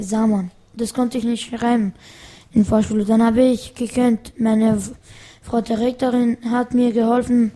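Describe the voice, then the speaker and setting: Hesitant young guest from Afghanistan. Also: female voice, a little high, dark tone. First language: Farsi. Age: child. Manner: hesitant, searching for words. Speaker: studio guest.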